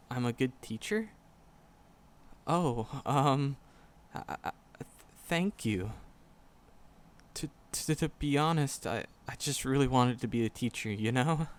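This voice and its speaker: A man's voice: mid-range voice, takes it slowly, lightly acted. A man playing a shy, nervous magic professor in a roleplay audio drama, skittish and earnest, stammering and repeating words as he talks to the listener. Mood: bashful, sincere.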